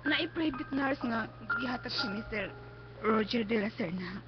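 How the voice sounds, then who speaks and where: A woman's voice: fairly high pitch, lively intonation, moderate pace, close to an everyday voice. A woman with a Cebuano accent acting an anguished, pleading blinded patient in a radio drama, drawing out her words emotionally. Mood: hurt, needy. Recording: dull lo-fi radio stream, music behind.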